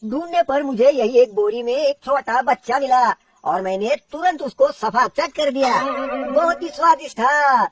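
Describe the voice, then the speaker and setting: Sly male woodcutter, acted by a narrator, storybook trickster: high, coaxing, boastful voice, a little off-mic. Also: lively intonation, moderate pace, distant mic. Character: sly male woodcutter posing as a child-eating sorcerer. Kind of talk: dramatised storybook horror tale for children.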